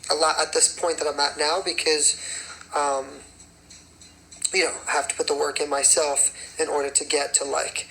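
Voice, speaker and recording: A raspy young man, a singer and choreographer, chatting casually on a phone livestream and rambling with many fillers. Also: a little high, level tone, moderate pace, pushed and bright, a little hiss or hum, poor audio. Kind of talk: casual livestream chat answering comments.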